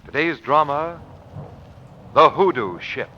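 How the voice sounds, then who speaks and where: Male vintage radio announcer: slightly high, forceful, emphatic scripted pitch, muffled old broadcast. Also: lively intonation, slow. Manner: emphatic, formal, crisp selling delivery. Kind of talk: 1940s radio announcing, scripted narration and ad read.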